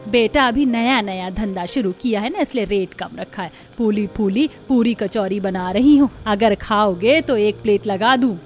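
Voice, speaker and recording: A woman's voice: medium pitch, moderate pace, few pauses, darkish tone, lightly acted. A woman voicing an older, thrifty, kindly mother-in-law in a Hindi village cartoon. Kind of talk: Hindi moral-story cartoon dialogue. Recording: music behind the voice.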